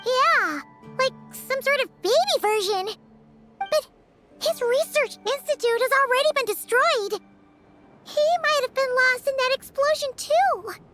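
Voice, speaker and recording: A tiny floating childlike game companion. The voice is extremely high and squeaky, swooping up and down, cheeky and chatty, over prominent music. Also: female voice, animated, measured pace. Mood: curious, puzzled. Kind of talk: video game voice acting.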